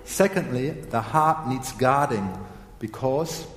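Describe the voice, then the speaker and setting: Slow, hoarse man preaching in an echoey hall. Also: medium pitch, a bit bright. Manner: slow and deliberate, frequent throat-clearing. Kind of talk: sermon. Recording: echoey hall with long reverb.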